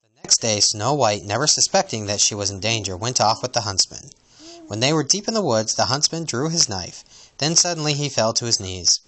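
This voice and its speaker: Guy reading a kids storybook out loud, expressive, voice swings up and down a lot. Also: mid-range voice, a little fast. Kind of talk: reading a children's storybook aloud.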